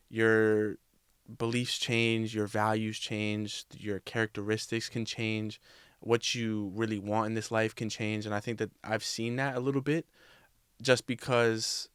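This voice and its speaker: A man's voice: lowish voice, a little slow, clear, resonant tone, no room sound, clean studio sound. A man in his twenties, a podcast guest, talking in a candid, reflective way in a casual interview conversation. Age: 20s. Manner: reflective, candid, speaks in a steady even tone.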